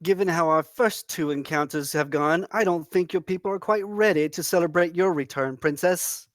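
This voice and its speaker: A performer plays a princess's earnest, careful companion. The delivery is measured and courteous with formal wording, recorded dry at a middle pitch for a table read. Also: dry recording, close to an everyday voice. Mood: cautioning. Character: earnest, cautious travel companion and servant to a princess. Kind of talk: acting in a film script table read.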